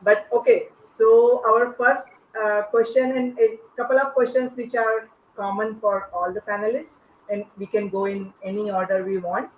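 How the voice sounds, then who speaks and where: Host, medium pitch, informal, halting, roomy sound, muffled recording. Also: steady tone. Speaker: panel moderator and host. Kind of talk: informal panel hosting. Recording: echoey, dull online call with faint noise.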